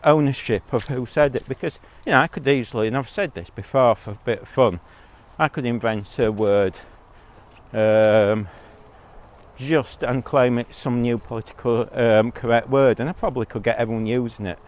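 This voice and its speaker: A man's voice: mid-range voice, moderate pace. A man giving a blunt, rambling monologue as a vlogger, talking while out on a walk.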